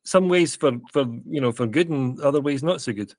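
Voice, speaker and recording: A man hosting a podcast, speaking in a measured, formal way. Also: fairly high pitch, dry recording. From Scotland. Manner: measured and formal, with a gentle introduction. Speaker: podcast host. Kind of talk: podcast interview.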